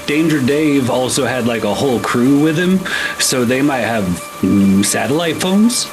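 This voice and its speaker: A man playing an eccentric, oblivious resort guest who rambles in a deadpan, unbothered way in a tabletop game. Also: mid-range voice, a little slow, over music. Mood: musing.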